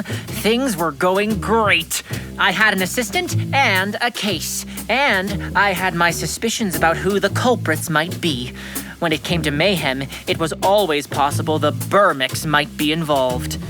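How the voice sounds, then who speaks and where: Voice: medium pitch, a little slow, lightly acted. An actor playing an earnest, self-important sixth-grade boy detective in a radio drama, speaking formally and precisely. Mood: formal, self-assured. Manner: pompous, formal, precise diction. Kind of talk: radio drama acting and first-person narration. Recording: music under the voice.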